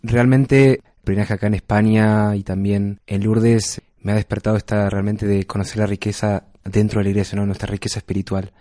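A man's voice: lowish voice, level tone, brisk, few pauses, mellow, no echo, clean studio sound. A young man from Argentina, speaking hesitantly in an interview, repeating words. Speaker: pilgrim interviewee.

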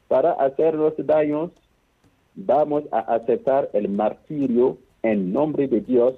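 Slow, husky priest on a phone line. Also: male voice, mid-range voice. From Burkina Faso. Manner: slow, earnest, gentle. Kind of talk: phone interview, prayer.

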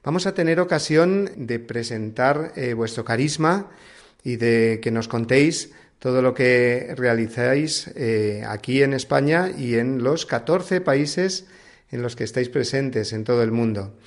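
Spanish man hosting a Sunday radio show. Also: medium pitch. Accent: Spain Spanish. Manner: measured, warm welcoming tone with frequent "eh" fillers. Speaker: radio host.

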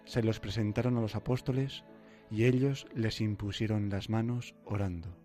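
A man's voice: fairly low pitch, steady tone, moderate pace, mellow, soft background music. A young man with a Castilian Spanish accent speaking as a radio presenter. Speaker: radio co-host, seminarian. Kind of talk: scripture reading and conversational radio. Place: radio studio. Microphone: studio microphone.

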